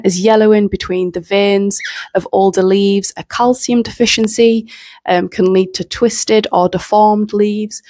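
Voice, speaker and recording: A woman in her forties hosting an aquarium channel, explaining fertilisers to the camera in a chatty, self-deprecating way, her voice husky from a cold, speaking into a handheld mic at home beside her planted tanks. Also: medium pitch, a little mellow, dry recording. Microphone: handheld mic held close.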